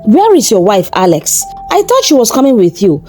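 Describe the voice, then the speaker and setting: A woman voicing a concerned male doctor in a folktale, steady and somber. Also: medium pitch, normal speed, faint music behind. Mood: questioning. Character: serious, concerned male doctor, gently grave.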